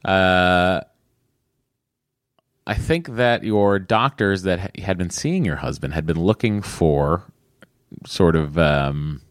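A man co-hosting a podcast, giving thoughtful, measured answers to listener letters. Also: deep voice, dry recording, clean studio sound. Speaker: podcast co-host. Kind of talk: casual podcast chat.

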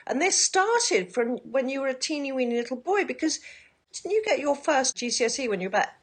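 A woman hosting an interview, asking questions in a playful, enthusiastic way. Her voice swings widely in pitch as she talks. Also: big pitch swings, brisk, breathy edge. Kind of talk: casual interview chat.

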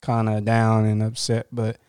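A man co-hosting a podcast, asking questions in a supportive way with quick asides and filler words. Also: on the low side, completely flat delivery, darkish tone, smooth, no echo, studio quality. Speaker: podcast co-host. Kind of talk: casual podcast chat.

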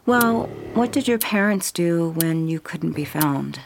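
An actress playing a composed, probing doctor questioning a young patient in an audio drama. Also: female voice, on the low side, lively intonation, creaky, a little hiss or hum. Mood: calm, probing. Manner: measured, clinical, questioning.

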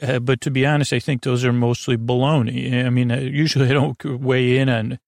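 Creaky, meandering man hosting a sleep podcast. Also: mid-range voice, flat delivery, darkish tone, no echo, studio quality. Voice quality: creaky, gravelly. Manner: meandering, halting, self-interrupting and digressive. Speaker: podcast host. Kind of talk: rambling, goofy sleep podcast monologue.

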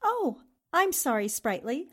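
A woman's voice: high voice, very animated, slow, fairly bright tone, exaggerated voice. A woman playing an amused, skeptical newspaper reporter in a radio drama, wry and teasing. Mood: indulgent.

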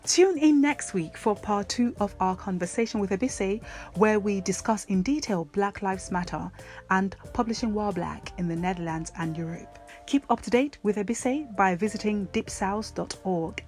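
A woman's voice: mid-range voice, lively intonation, normal speed, darkish tone, faint music behind. A woman hosting a podcast, moving between scripted introduction and informal interview chat. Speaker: podcast host.

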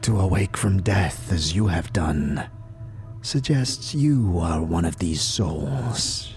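A man playing a frail, weary old scribe in a fantasy audio drama, speaking solemn archaic lines with a breathy, wheezing, whispery rasp. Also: low voice, animated, a little mellow. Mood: grave. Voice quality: breathy, wheezing, rattling with whispered trailing phrases. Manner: slow, solemn, archaic formal speech. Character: frail, weary, ancient undead-order scribe, solemn and contemptuous. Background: music behind the voice.

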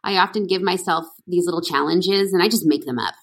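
A middle-aged woman from Canada chatting about her acting work, her voice a touch low and husky. She rambles through stories with lots of "you know" and "like" and restarts mid-sentence. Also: brisk. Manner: chatty, storytelling, many fillers and restarts. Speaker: actress guest. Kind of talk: casual interview chat.

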